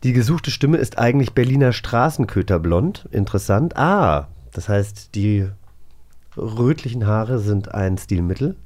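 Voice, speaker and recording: A man's voice: lowish voice, lively intonation, measured pace, a little mellow. A man hosting a podcast in a casual, chatty style. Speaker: podcast host. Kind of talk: casual chat, guessing game.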